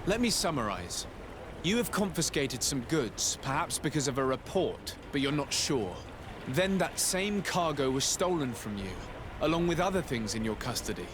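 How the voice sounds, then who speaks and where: A man playing a composed, diplomatic young noble legate in a video game, speaking formally and in measured, articulate phrases. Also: a little high, sing-song. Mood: stern, probing. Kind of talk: video game cutscene acting. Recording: noisy outdoor ambience behind the voice.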